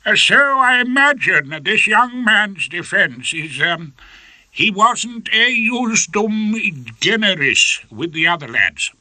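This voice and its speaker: A man voicing a pompous upper-class English judge in a story, hesitant, fussy and drawn-out. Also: sits high, very animated, sharp tone, no room sound, pitched above the performer's own voice, heavily acted. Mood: vague, hesitant.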